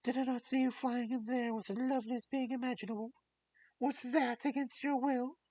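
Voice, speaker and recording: A man voicing a tearful, pleading young princess in a high strained voice while reading a fairy tale aloud. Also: flat delivery, moderate pace, darkish tone, distant mic. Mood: accusing.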